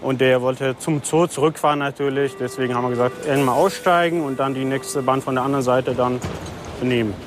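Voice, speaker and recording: A man from a train security team talking casually about his work, relaxed and friendly. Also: medium pitch, a little fast, music in the background. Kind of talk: casual talk on the job.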